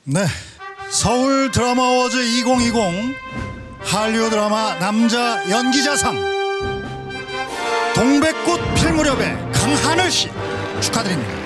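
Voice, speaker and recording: A man's voice: very high-pitched, lively intonation, measured pace, long pauses. A man presenting an award in a formal ceremony announcing style. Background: music.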